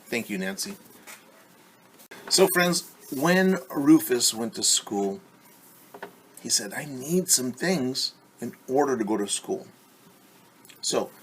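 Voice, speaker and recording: A man's voice: on the low side, fairly bright tone, a little hiss or hum. A man teaching young children at story time, reading aloud and explaining in simple, encouraging words with questions to his listeners.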